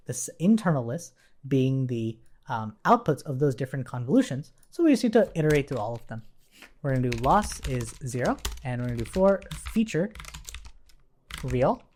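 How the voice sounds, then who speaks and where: A man's voice: on the high side, sing-song, a little fast, a little mellow. A man giving a casual coding tutorial as an instructor, informally thinking aloud as he works. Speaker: programming instructor.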